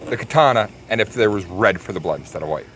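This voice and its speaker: A man's voice: lowish voice, lively intonation, fast talker, a bit bright, poor audio. A man co-hosting a casual film review podcast, giving blunt opinions in a joking way. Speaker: podcast co-host. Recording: faint background urban ambient noise.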